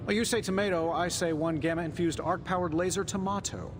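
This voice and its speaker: An actor playing a wisecracking middle-aged inventor superhero in a video game, with a glib, chatty manner. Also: male voice, fairly even intonation, a little fast, lightly acted. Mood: wry, playful. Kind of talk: cinematic video game acting. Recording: long reverb, clear rumbling noise.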